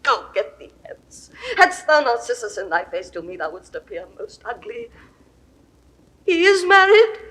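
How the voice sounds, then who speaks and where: A woman's voice: fairly high pitch, very animated, unhurried, bright, forceful tone, exaggerated voice, rough recording. An actress playing an imperious, lovesick Egyptian queen in a classical Shakespeare audio drama, with grand, theatrical delivery. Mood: wounded, brooding.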